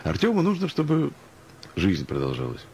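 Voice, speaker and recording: A man's voice: medium pitch, huge pitch swings, moderate pace, a little mellow, slight background noise. A man speaking as a guest in a radio interview, musing and ironic, arguing through anecdotes and examples. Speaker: interview guest, music professional.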